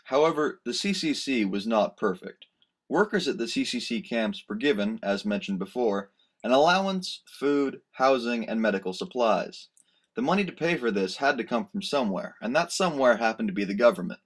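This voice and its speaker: A man's voice: mid-range voice, expressive, normal speed. A man reading scripted narration for a student history documentary.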